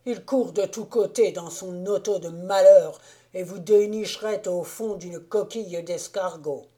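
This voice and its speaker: A woman voicing a hungover, grumbling middle-aged road mender who complains loudly and drags out his words. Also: on the low side, natural voice. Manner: loud, drawn-out complaining. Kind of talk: audiobook character voice.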